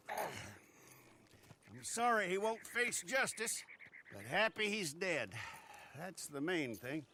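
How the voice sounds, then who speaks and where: A man's voice: sits high, sharp tone, a little hiss or hum, full character voice. A man playing a stern, self-satisfied lawman with an emphatic manner in a western video game. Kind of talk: video game cutscene acting.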